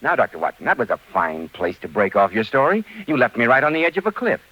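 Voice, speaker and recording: A man's voice: mid-range voice, sing-song, fast. A man hosting a 1940s radio drama, bantering as announcer. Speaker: announcer and interviewer. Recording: muffled 1940s radio, music behind.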